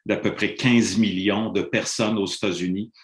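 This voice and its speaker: A middle-aged man presents to an online panel, his voice low and dark. He explains in a measured, lecturing way and stops often on drawn-out "euh" hesitations. He sounds roomy, as if speaking into a laptop in an echoing room over a video call. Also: steady tone. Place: room in Montreal, remote presentation.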